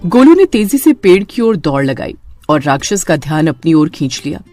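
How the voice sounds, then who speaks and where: A woman's voice: deep voice, sing-song, moderate pace, darkish tone, soft background music. A woman narrator reading a scripted horror story in a dramatic storytelling manner.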